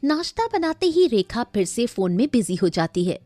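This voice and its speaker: Animated high-voiced woman storyteller. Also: normal speed, dry recording. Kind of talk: dramatic scripted story narration. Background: faint music.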